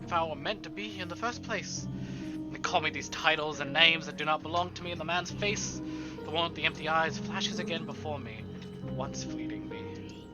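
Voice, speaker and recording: A fairly high-pitched man reads a visual novel aloud for a stream. His narration is theatrical and expressive, with clear game music playing behind him. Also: moderate pace. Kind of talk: dramatic first-person story narration with asides.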